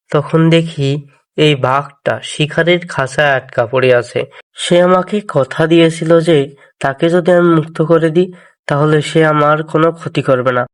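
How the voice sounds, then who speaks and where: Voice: medium pitch, natural voice. An actor voicing a naive, anxious, kind-hearted Brahmin man in a cartoon folk tale, earnest and plaintive. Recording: music behind the voice. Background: music.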